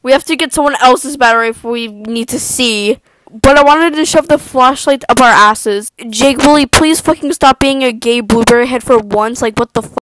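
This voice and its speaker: A woman doing an improvised comic cartoon fan dub in a loud, crude, sweary manner. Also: high-pitched, moderate pace. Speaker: amateur fan voice-over artist. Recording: noisy home recording with clicks.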